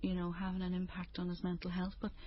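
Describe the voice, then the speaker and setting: A woman's voice: lowish voice, monotone, quick pace, highs cut off, a little hiss or hum. A woman with a Northern Irish accent talking personally as a parent in an intimate conversation.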